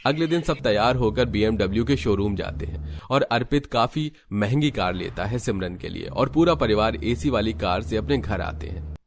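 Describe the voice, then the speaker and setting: A man's voice: fairly low pitch, expressive, moderate pace, slight background noise. A man narrating a scripted cartoon family story.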